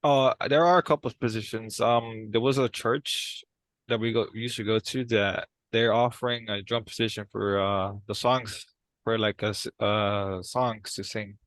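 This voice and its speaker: A man playing a reticent, agreeable brother in an improvised session over a video call, speaking haltingly. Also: fairly low pitch, fairly even intonation, fairly bright tone, no echo, close to an everyday voice. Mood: mild, tentative. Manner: halting, hesitant. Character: reticent brother, an aspiring drummer, mild and agreeable. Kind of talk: improvised role-play dialogue.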